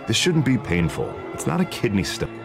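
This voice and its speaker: An upbeat American district attorney on the campaign trail in a story game. He is polished and jovial, his pitch moving freely from speechmaking to banter. Music plays behind him. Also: wildly swooping pitch, moderate pace, vocal fry, heavily acted. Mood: coaxing, earnest. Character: glib, upbeat district attorney running for mayor. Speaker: politician campaigning for mayor. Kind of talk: video game drama acting.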